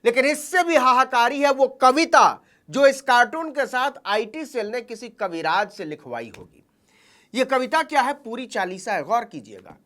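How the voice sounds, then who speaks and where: A woman's voice: fairly high pitch, big pitch swings, moderate pace, a bit bright. A woman delivering satirical political commentary as a news commentator, with a mocking, theatrical delivery.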